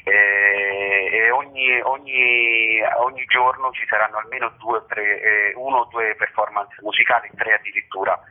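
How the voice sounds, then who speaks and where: A man's voice: lowish voice, steady tone, moderate pace, hardly any pauses. A man being interviewed as a festival director, talking spontaneously and effusively, rambling and repeating words for emphasis. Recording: muffled, narrow phone line.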